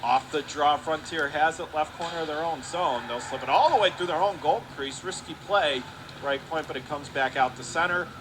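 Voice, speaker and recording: A man calling live radio play-by-play of a hockey game, talking rapidly and rising to excited shouts on close plays. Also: a little high, background noise.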